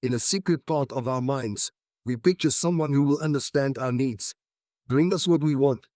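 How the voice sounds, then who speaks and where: A man's voice: medium pitch, normal speed, dark tone, no room sound. Man reading aloud, dry sound. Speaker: exam practice passage reader. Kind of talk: scripted read-aloud, exam practice model.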